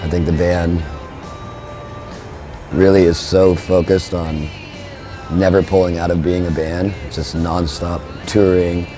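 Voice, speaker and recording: A young man, a band's frontman, talking in a relaxed, rambling way with lots of fillers. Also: low-pitched, a little slow, pauses often, over music. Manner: laid-back, rambling, with frequent fillers. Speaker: band frontman. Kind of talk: relaxed interview talk.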